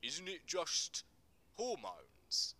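A man acts out a naive young-minded gang member in an audio drama. The voice is raised and sharp, with blunt, curious questioning over music. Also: a little high, huge pitch swings, extremely slow, slight background noise, voice pushed up, full character voice. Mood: doubtful. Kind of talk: dramatic screenplay reading.

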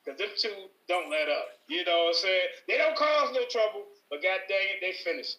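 A man's voice: high voice, expressive, brisk, sharp tone, roomy sound, lo-fi sound. A man hosting a talk show, introducing guests in a hyped, slangy way. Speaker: show host. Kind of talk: casual hype introductions.

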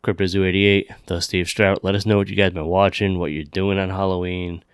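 A man's voice: deep voice, little pitch movement, fairly quick, smooth, no room sound, studio quality. A man co-hosting a podcast, chatting casually and rambling with some swearing. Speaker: co-host of a Halloween podcast. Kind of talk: casual chat, signing off a show.

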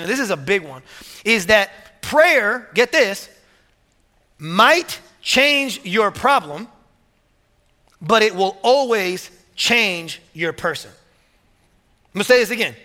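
High, bright-voiced energetic male pastor. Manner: energetic, repeats words, rising to emphatic peaks. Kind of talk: sermon. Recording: long hall reverb.

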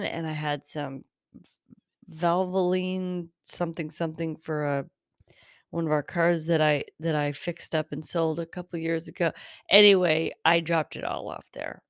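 A woman hosting her own improvised podcast, talking in a chatty, rambling way, her voice raspy and tired, partly lost. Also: low-pitched, a little slow, no echo, clean studio sound. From Northern California. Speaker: podcast host. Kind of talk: improvised solo talk. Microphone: close mic.

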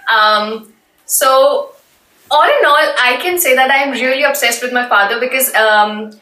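An Indian woman student chats with hesitant pauses, searching for her words. Her voice is bright and sits a little high. She is set back from the mic in an echoey room. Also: distant mic. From India, Delhi. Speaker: student guest. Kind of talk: casual panel chat.

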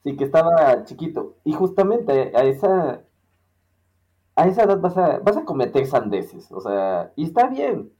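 A man's voice: fairly high pitch, big pitch swings, unhurried, dark tone, muffled recording. A man with a Mexican accent chatting casually as a podcast co-host, rambling and searching for his words. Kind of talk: casual conversation.

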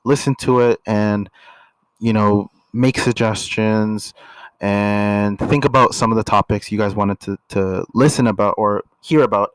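A young man from Canada co-hosting a casual couple podcast, relaxed and full of filler words. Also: fairly low pitch, unhurried, clear, resonant tone, dry recording, low-quality recording. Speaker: podcast co-host.

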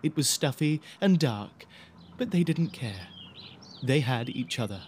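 A man's voice: medium pitch, animated, a little slow, slight background noise. A man narrating a spooky audio drama in a theatrical storybook style. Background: music.

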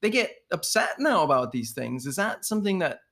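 Danish-born man asking halting questions. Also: on the high side, sing-song, vocal fry, fairly bright tone. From Denmark. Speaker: host. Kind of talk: casual interview talk.

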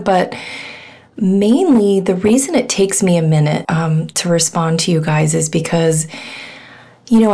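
A woman's voice: on the low side, a little mellow. A woman hosting her own podcast with a head cold, so her voice is nasal and congested. She talks in an earnest, rambling way, full of fillers. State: has a cold. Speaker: podcast host. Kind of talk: casual solo podcast talk.

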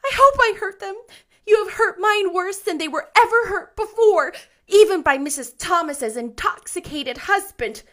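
A young orphan girl from a storybook, hurt and blazing with temper. The voice is very high and piercing, swinging widely as it chokes with passionate indignation. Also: animated, moderate pace, fairly bright tone. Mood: tearful indignation.

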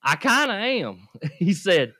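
A man's voice: sits high, sing-song, takes it slowly, bright, forceful tone. Laughing man telling stories. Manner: laughing, self-deprecating storyteller. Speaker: podcast co-host. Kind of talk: casual podcast storytelling.

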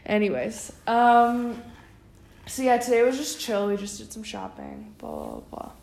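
A woman's voice: a little high, wildly swooping pitch, unhurried, gravelly, darkish tone. Young woman, casual podcast co-host, filler-heavy chat; roomy recording, light hiss. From United States. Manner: chatty, many fillers.

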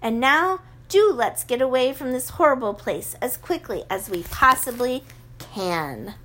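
A woman's voice: high voice, lively intonation, a little slow, a bit bright, exaggerated voice. A woman voicing a kindly, confident wife of a wizard who talks in a reassuring way.

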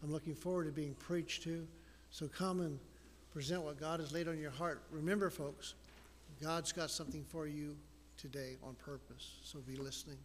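A pastor speaks earnestly to his congregation as he introduces a guest. His voice sits a little high. The church hall adds long reverb and a faint hum of room noise. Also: fairly even intonation, normal speed. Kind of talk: sermon introduction.

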